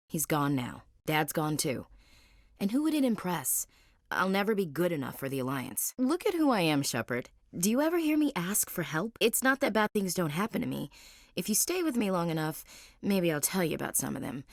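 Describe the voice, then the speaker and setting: A tough, proud young marine, with a low pitch and a blunt, dry, clipped way of talking. Her lines come through in a dead studio with no room sound. She stays guarded and wry between the orders. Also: no room sound. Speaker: game character dialogue. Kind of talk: studio game voice acting.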